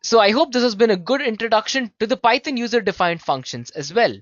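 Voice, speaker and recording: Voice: medium pitch, animated, quick pace, no room sound, muffled recording. A programming instructor explaining code step by step in a conversational tutorial style, addressing learners casually and checking in with tag questions.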